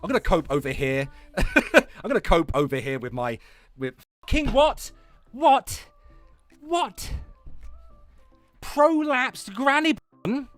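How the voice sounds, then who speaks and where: A man's voice: high-pitched, animated, slow, long pauses, fairly bright tone, dry recording, soft background music. A man hosting a livestream with mocking reaction commentary, foul-mouthed, exasperated and sarcastic. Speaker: livestream host.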